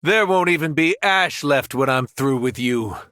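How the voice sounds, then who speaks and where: An actor playing a powerful, cruel superhero turned villain in a video game, arrogant and taunting. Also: male voice, fairly high pitch, animated, unhurried, bright, forceful tone, no echo, clean studio sound. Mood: cold threat. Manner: taunting, menacing, condescending.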